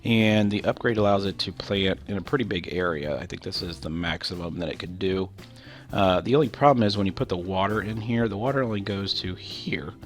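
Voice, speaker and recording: Low-voiced man, nasal with a cold. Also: brisk, soft background music. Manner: rambling, loose explanations with many fillers. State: stuffy nose from a cold. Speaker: gameplay commentator. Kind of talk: casual game walkthrough commentary.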